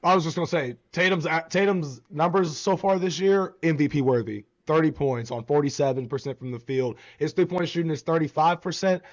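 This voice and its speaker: Higher-voiced young man, muffled lo-fi mic. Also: brisk, hardly any pauses. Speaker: podcast co-host. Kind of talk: casual sports debate. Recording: dull, lo-fi microphone sound.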